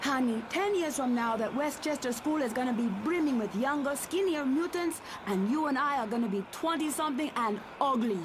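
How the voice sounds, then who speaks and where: A woman as a high-voiced sassy superheroine. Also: lively intonation, fairly quick, few pauses. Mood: teasing. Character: sassy, teasing young superheroine. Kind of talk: motion comic voice acting. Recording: clear background ambience noise.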